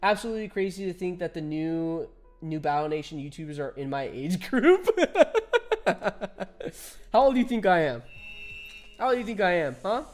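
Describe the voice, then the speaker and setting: A man's voice: high-pitched, lively intonation, normal speed, long pauses, soft background music. A man in his twenties chatting casually with viewers while streaming a game, laughing often and reading chat aloud. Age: 20s.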